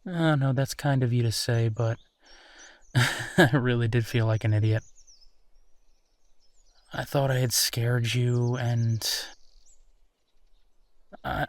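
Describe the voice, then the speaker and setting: A man playing a gentle, embarrassed werewolf boyfriend in an intimate roleplay audio drama, speaking hesitantly and self-deprecatingly. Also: mid-range voice, no echo. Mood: sheepish, regretful. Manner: hesitant, self-deprecating, sighing, soft chuckles.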